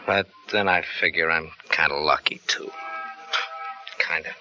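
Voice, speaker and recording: An actor playing a jobless husband in a 1940s radio drama, bitter and sullen, with sudden flashes of anger. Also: male voice, extremely low pitch, unhurried, pushed and bright, soft background music, heavily acted. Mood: brooding. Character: jobless husband, brooding and touchy. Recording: dull old radio broadcast with faint hiss.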